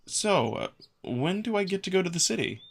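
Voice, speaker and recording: A man's voice: high voice, very animated, moderate pace, vocal fry, voice pushed up, full character voice. A man voicing a curious, anxious young human with a hesitant, placating way of talking.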